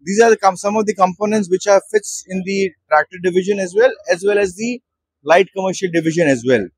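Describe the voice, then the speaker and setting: A man in his thirties with an Indian English accent, a company director walking an interviewer through his products at an exhibition stall and pausing with fillers as he goes. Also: high voice, breathy edge, no room sound, low-quality recording. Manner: hesitant, with many fillers and restarts. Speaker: managing director at a trade show. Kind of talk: guided product walkthrough. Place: busy exhibition hall stall.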